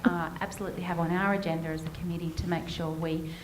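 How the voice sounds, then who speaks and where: A woman on a committee giving a halting thank-you speech at an event, with many pauses. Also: lowish voice, little pitch movement. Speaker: committee member presenting a farewell. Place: event room. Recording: echoey room, noisy.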